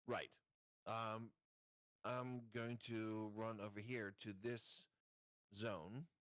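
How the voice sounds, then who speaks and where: A man's voice: lowish voice, level tone, drawn-out words, a bit bright, dry recording. A man playing a tabletop game, thinking aloud in casual, somewhat hesitant chat.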